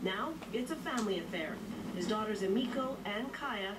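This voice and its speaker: A woman reporter delivering scripted news narration in a measured, even way. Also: medium pitch. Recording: distant voice with noticeable noise.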